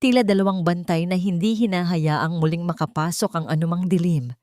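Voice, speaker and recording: Female audiobook narrator, lower register, solemn and measured, very dry studio sound. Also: a little mellow, dry recording. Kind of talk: dramatic scripted story narration.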